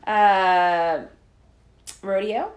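A middle-aged mother co-hosting a casual chat podcast, teasing and chatty, often pausing on fillers. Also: mid-range voice, fairly even intonation. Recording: echoey room.

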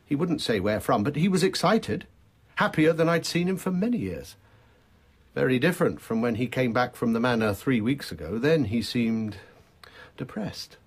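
A man's voice: mid-range voice, lively intonation, normal speed, slightly rough. An actor playing an agitated, insistent man in his thirties with a British accent, in a radio drama. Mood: distressed, puzzled. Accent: British English. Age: 30s. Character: distraught, emphatic well-dressed man in his thirties.

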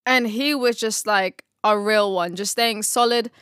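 A woman's voice: mid-range voice, measured pace, fairly bright tone, smooth, no room sound, clean studio sound. A woman co-hosting a casual Bible study chat, slangy and laughing often.

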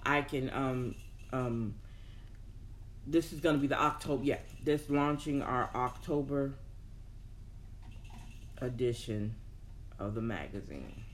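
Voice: mid-range voice, lively intonation, slow, long pauses, a little hiss or hum. A host chatting informally to an audience in a motivational style, thinking aloud and repeating words for emphasis. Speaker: host of a personal development show. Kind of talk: casual motivational talk to an audience.

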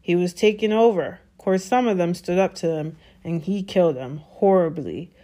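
Storybook giant man, played by a woman: gruff, good-hearted, anxious, folksy dropped consonants. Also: lowish voice, moderate pace, mellow, close to an everyday voice. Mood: grave, uneasy. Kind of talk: storybook character voice.

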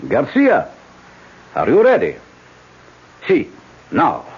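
A man's voice: mid-range voice, wildly swooping pitch, takes it slowly, full character voice. A man playing a sly, courteous interrogator in a radio drama. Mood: probing, controlled. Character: sly, courteous Latin interrogator, theatrical and unhurried. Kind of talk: 1970s radio drama acting. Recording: muffled, slightly noisy old radio recording.

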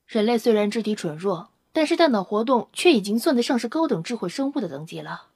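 Woman acting a brash, animated young-sounding vampire scientist. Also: a little high, fast, slightly rough, no echo, clean studio sound, heavily acted. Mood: eager, explaining. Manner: emphatic, informal, unrefined. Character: brash, fiery female vampire scientist, proud and outspoken. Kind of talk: Chinese audio drama acting.

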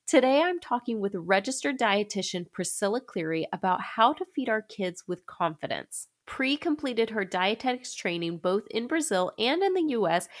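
A woman hosting a podcast, congested and a little stuffy from a recent illness, talking in an upbeat, chatty way with frequent laughs. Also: mid-range voice. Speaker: podcast host. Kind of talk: casual podcast chat and scripted intro.

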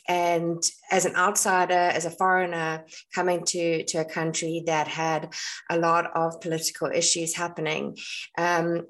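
A woman's voice: lowish voice, fairly bright tone. A woman with a South African accent, hosting a solo podcast in a casual, conversational and explanatory way. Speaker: podcast host and astrologer.

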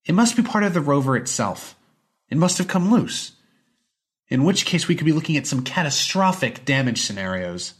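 A man voicing a brisk, disbelieving middle-aged woman scientist in an audiobook story, with emphatic, questioning delivery. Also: fairly high pitch, sing-song, slightly rough, pitched above the performer's own voice. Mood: skeptical, worried.

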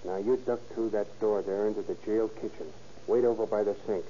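An actor playing a slangy, sly small-time jailbird in a 1930s radio crime drama. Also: male voice, fairly low pitch, steady tone, a little fast, dark tone, lightly acted. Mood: urgent, conspiratorial. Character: crafty jail trusty turned escapee, a smooth-talking small-time crook. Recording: muffled, noisy old radio recording.

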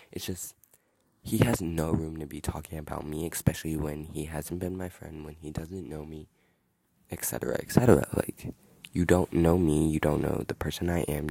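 A man's voice: extremely low pitch, creaky, dark tone, no room sound. A young man hosting a podcast, telling a story in a rambling, filler-heavy, indignant way. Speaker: podcast host. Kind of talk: casual storytelling monologue. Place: outdoors in wind.

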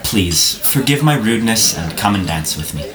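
A man's voice: lowish voice, animated, moderate pace, crowd noise, lightly acted. A young man playing a frank, gallant teenage boy in an audio play, blunt but courteous. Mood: earnest, gallant. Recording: music playing behind the voice.

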